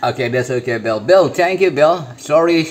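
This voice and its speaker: A man's voice: a little high, expressive, normal speed, noisy background. A man from Indonesia with an Indonesian accent, working as an amateur radio operator, making short exchanges with call signs and repeating his phrases.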